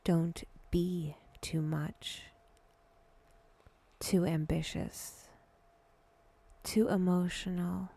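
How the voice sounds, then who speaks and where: A woman guiding a meditation in a hushed voice that drifts toward a whisper, speaking deliberately in short phrases with long pauses. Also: lowish voice, flat delivery, gravelly. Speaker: guided meditation host. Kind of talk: slow, breathy guided meditation narration.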